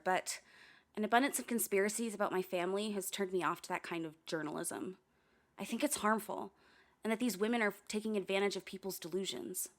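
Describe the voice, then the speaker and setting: A woman's voice: medium pitch, lightly acted. An actress playing a blunt, sardonic female coast guard officer who narrates her own investigation in a radio drama. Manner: dry, curt, sardonic.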